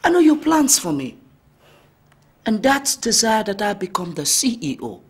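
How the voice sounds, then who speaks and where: A man's voice: sits high, big pitch swings, normal speed. An actor playing a headstrong grown son, pleading and defiant with his mother in a melodrama. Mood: insistent. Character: headstrong young man, grown son in love. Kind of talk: melodrama acting.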